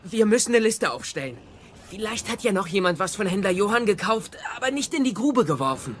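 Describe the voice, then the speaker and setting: A man's voice: high-pitched, big pitch swings, brisk, a little hiss or hum. An actor playing an earnest, dry-witted teenage Viking boy in a cartoon audio drama. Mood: earnest, worried.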